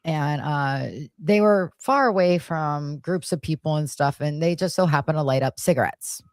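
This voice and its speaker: An American woman co-hosting a podcast, chatting casually in a blunt, slangy way and telling stories in an animated manner. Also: low-pitched, moderate pace, dry recording, studio quality. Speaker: podcast co-host. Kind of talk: casual chat, animated storytelling.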